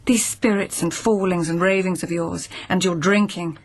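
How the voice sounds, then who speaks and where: A woman's voice: fairly low pitch, steady tone, moderate pace. An actress playing a poised, witty court lady who also narrates, speaking in a dry, wry way. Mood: composed. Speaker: narrator and lead character. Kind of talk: radio drama acting and narration.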